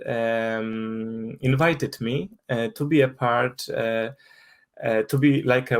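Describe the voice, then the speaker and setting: A young man from Poland speaks English with a Polish accent, his first language being Polish. He talks in a casual interview, often restarting words and reaching for fillers. His voice sits at an ordinary middle pitch. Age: young adult. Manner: hesitant, many restarts and fillers. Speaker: guest.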